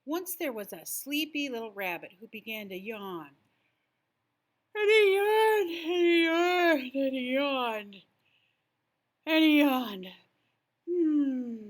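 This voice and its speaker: An older woman reading a children's picture book expressively, gentle and drawn-out, hushed in places. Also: high-pitched, very animated. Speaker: storyteller.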